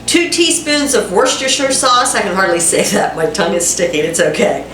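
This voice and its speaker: A woman's voice: mid-range voice, big pitch swings, a little fast, fairly bright tone, slight background noise. Stuffy-voiced woman chatting through a recipe. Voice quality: congested and nasal. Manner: chatty, self-deprecating asides to viewers. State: stuffy voice from a head cold. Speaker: cooking channel host. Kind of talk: casual home cooking demonstration. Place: home kitchen. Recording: echoey kitchen, mic at a distance. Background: frying sizzle and a dishwasher running.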